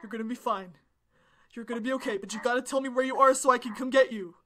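A woman's voice: fairly high pitch, fast, bright, forceful tone. A woman voicing a protective, worried eighteen-year-old older brother in an emotional fan audio drama. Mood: urgent, reassuring. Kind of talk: angst-heavy fan audio drama acting. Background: background music.

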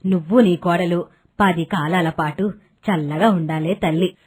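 Woman voicing a husky, grateful old villager. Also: on the low side, a little slow, a little mellow. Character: grateful elderly village woman giving blessings.

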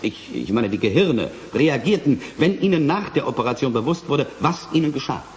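An actor playing a courteous but probing prosecutor, questioning formally and pointedly in a German radio drama. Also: male voice, mid-range voice, big pitch swings, a little fast, lightly acted.

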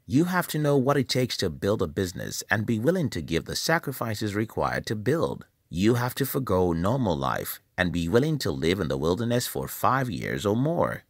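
A man from Nigeria, reading a scripted motivational voice-over in a measured, emphatic, preacherly way. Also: on the low side, a bit bright, breathy edge, no room sound, clean studio sound. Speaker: channel host.

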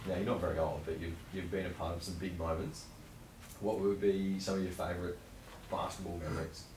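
A man co-hosting a podcast, firing off quick, upbeat interview questions in casual chat. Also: sits low, level tone, a little mellow. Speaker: podcast co-host. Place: small podcast lounge room, indoors. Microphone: studio desk mic with headphones. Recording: roomy, distant-miked, noisy room.

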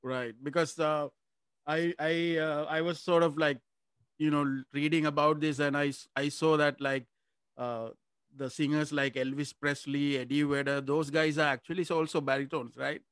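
A man's voice: fairly high pitch, little pitch movement, normal speed, a bit bright, clean tone, no room sound. A man hosting a video-call interview, asking questions hesitantly and answering with short acknowledgements. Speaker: podcast host. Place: room indoors. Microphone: studio mic in front of face.

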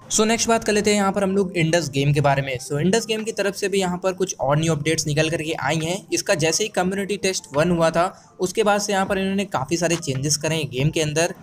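A man from India with an Indian accent hosts a casual gaming news voice-over, mixing in English words as he goes. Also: a little high. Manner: code-switches between Hindi and English. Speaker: gaming channel host. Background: background music.

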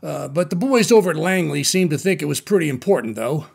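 A man's voice: on the high side, expressive, brisk, creaky, darkish tone, a little hiss or hum. A man playing a skeptical, folksy American colonel who speaks hesitantly in a radio drama. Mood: affable, puzzled. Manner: hesitant, dryly incredulous.

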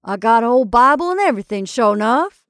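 A woman voicing an older male club waiter who plays a mock minister, booming and hearty. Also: expressive, a little fast, no echo, studio quality, lightly acted.

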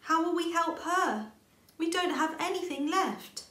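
Lady doing a keen little bunny girl from a picture book, high voice, roomy. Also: measured pace. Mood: worried. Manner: bright-eyed, excitable. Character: eager, kind young girl rabbit. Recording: roomy home recording.

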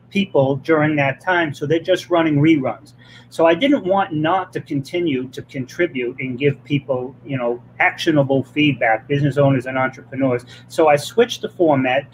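A man's voice: fairly high pitch, a little hiss or hum, poor audio. A middle-aged man with a Boston accent hosting a live-stream interview show, chatty and jokey, speaking over a webcam from a home office. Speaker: host. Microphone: webcam on video call.

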